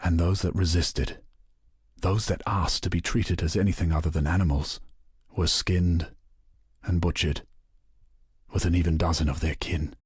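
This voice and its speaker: A man playing a grave, defiant rebel leader of an enslaved people in a video game, speaking in a solemn, measured, oratorical way with a rough, husky voice. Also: deep voice, steady tone, no room sound, clean studio sound. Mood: somber, bitter. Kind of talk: scripted video game voice acting.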